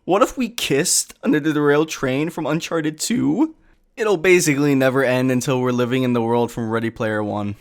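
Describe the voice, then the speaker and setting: A man's voice: mid-range voice, normal speed. A man delivering comedic scripted commentary with casual asides, in a sarcastic, exasperated and sometimes profane way. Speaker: video essayist.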